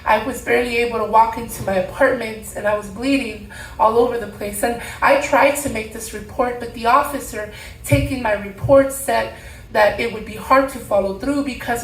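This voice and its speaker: Woman in her 30s doing slam poetry, high voice, emphatic, roomy echo. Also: fairly even intonation. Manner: emphatic, with deliberate repeated phrases. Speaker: spoken word poet. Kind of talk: spoken word poetry performed live. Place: room at a live poetry event. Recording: roomy, distant mic, faint background noise.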